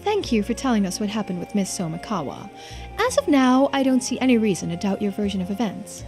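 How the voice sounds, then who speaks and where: Firm, formal official for a game, voiced by a woman; measured, restrained, polite, light music bed. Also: mid-range voice, big pitch swings, a little mellow. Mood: calm. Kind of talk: video game character voicing.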